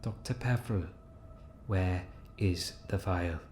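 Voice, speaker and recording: A man acts out a stern male agent for an audio drama. The voice is fairly low and grave, pressing its point insistently. There is faint background noise. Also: slow pace, a little mellow. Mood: grave, urgent. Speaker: voice actor.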